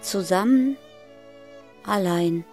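Radio play chorus: grave female narrator, mellow dark tone, sparse phrasing, faint music underneath. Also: mid-range voice, slow pace. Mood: somber. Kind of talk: literary radio drama narration.

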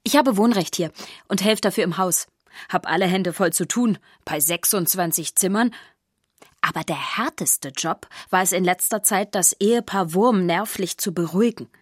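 An actress playing a chatty, down-to-earth castle helper who narrates a children's radio play wryly and matter-of-factly. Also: female voice, on the low side, slightly rough, dry recording, clean studio sound. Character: chatty, down-to-earth woman living and helping in a castle.

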